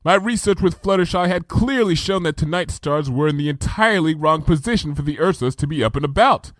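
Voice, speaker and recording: A man narrating a comic fan story in a lively storytelling style. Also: on the high side, a little fast, dry recording, clean studio sound. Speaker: fanfiction reader. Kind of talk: dramatic audiobook narration.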